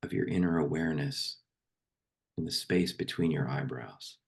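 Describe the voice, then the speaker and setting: A man speaking as a meditation guide and poetry reader, slow and unhurried, with long pauses between phrases. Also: rumbling low, creaky, slightly rough.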